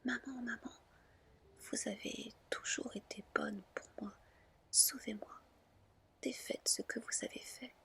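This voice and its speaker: A woman's voice: fairly high pitch, steady tone, slow, creaky, bright, forceful tone, husky, full character voice. A woman voicing a distressed, pleading young girl in a whispered storybook reading.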